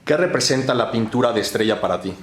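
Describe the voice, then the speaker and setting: A man hosting an interview asks his questions politely and at an even, measured pace. His voice carries a clear roominess, as if he were recorded in an echoey space. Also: medium pitch. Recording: roomy echo.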